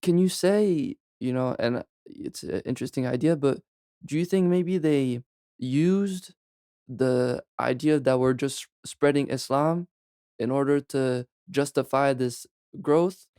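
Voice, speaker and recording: Man asking interview questions, smooth voice. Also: medium pitch, warm tone, no room sound, clean studio sound. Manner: thoughtful, halting questions with fillers. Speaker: podcast host.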